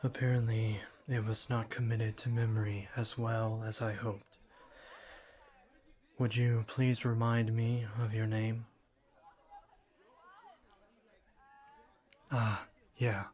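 A man playing a shy young classmate in an ASMR roleplay, speaking formally and stiffly with hesitant stammers and a cool, deadpan manner. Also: lowish voice, unhurried, lightly acted. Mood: embarrassed, earnest. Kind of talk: ASMR roleplay, scripted one-sided dialogue.

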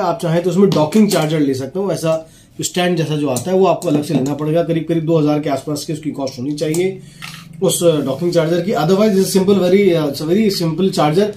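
A man's voice: fairly high pitch, warm tone, slight background noise. A man in his thirties giving a casual, explanatory product demonstration, dropping English phrases into his speech. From India. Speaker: product reviewer and walkie-talkie seller. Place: small office, indoors.

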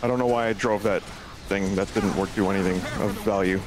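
A man with a bassy voice making dry jokes in a casual gaming chat with friends. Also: steady tone, fairly quick. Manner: dry, joking asides. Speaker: streamer guest. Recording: game music behind voice.

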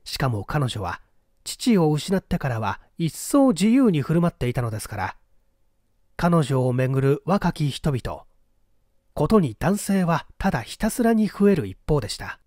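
A man reading a mystery story aloud as literary narration, in a measured, polite storytelling manner. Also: a little high, sing-song, a little mellow, no room sound, clean studio sound. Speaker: audiobook reader.

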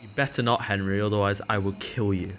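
Man playing a shy British student, lowish voice. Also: fairly even intonation, fast, a bit bright, slight background noise, natural voice. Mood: wary. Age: young adult. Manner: hesitant. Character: shy, anxious, cautious young male college student. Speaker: actor in an audio drama. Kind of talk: naturalistic audio drama acting.

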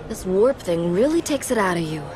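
A woman's voice: mid-range voice, a little hiss or hum. A woman playing a wry, weary warrior in a fantasy game, with a dry, casual manner.

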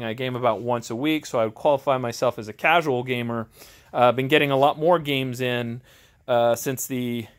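A middle-aged man with an American accent chats off the cuff as a game reviewer, rambling and self-deprecating. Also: medium pitch. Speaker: host, tabletop game reviewer. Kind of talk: unscripted casual review chat. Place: home game garage.

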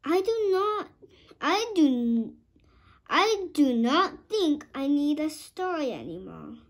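Young girl doing a gentle sick frog from a storybook, slow n high. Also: sing-song, exaggerated voice. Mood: caring. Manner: slow, deliberate read-aloud. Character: unwell male frog, gentle storybook friend.

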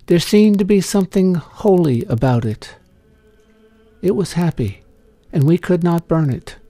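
A man voicing a grateful schoolchild's letter in a story reading, earnest and measured. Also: high-pitched, animated, mellow, no room sound, pitched above the performer's own voice. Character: grateful young schoolchild writing a sincere letter.

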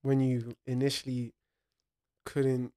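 A young man from Ireland hosts a casual podcast chat, laid-back and slangy, tossing in quick reactions. His voice sits in an ordinary middle range, close on a desk mic in a small studio. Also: medium pitch, monotone, mellow. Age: young adult. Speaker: podcast host. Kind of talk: casual interview. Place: small studio with wooden shelves. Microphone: desk-mounted podcast mic.

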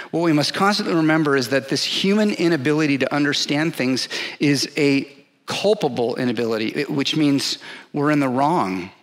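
Pastor, man in his forties, emphatic sermon delivery on a headset mic, voice on the high side. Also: fairly bright tone. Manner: earnest, emphatic preaching with pauses; paces the stage, gesturing. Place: large dark church stage.

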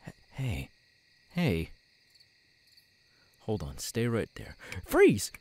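A man acting a twitchy, unstable young man with tics in an ASMR roleplay, halting and stammering, menacing yet apologetic. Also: on the low side, full character voice. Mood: alarmed, threatening. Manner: halting, stammering, broken by sudden shouted outbursts. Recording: night crickets, steady background noise.

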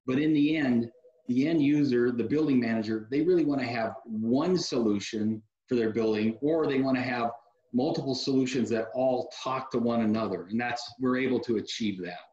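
A man in his forties, a company guest on a video call interview, explaining security products in a relaxed, practical way with frequent fillers. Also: medium pitch, normal speed. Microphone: video call webcam mic. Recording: echoey room over a dull video call.

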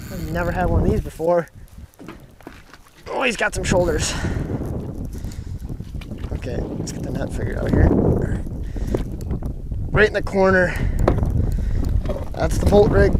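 A young man giving excited, casual running commentary while fishing from a dock on a lake. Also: sits high, background noise. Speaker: fishing vlogger. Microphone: action camera mic, sometimes head-mounted.

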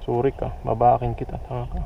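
A man sits in a small wooden canoe among river mangroves and talks to himself under his breath, grumbling and swearing at the fish on his line. It comes through dull action-camera audio, with loud water and boat noise around him. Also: medium pitch, little pitch movement, fast, vocal fry. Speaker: fishing vlogger. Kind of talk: casual muttering to himself while fishing. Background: water and boat sounds.

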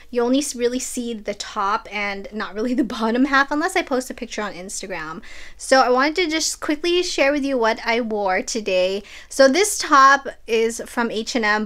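A woman's voice: fairly high pitch, fairly bright tone, clean tone. A woman in her thirties chatting casually at home in a haul vlog, upbeat and full of fillers. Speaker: vlogger. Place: small bedroom filming space at home.

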